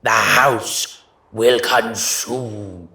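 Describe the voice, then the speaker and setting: Slow, menacing haunted-house ghost. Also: male voice, fairly low pitch, steady tone, gravelly, pushed and bright, reverberant, exaggerated voice. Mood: menacing, booming. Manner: slow, drawn-out, theatrical menace. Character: menacing haunted-house ghost scaring children, theatrical and doom-laden. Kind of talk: spooky Halloween audio drama.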